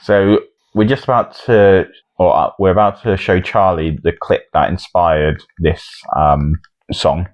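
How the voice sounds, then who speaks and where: Low-voiced man, casual podcast host. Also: fairly even intonation, a little slow, dry recording, dull audio, faint music behind. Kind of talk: casual chat.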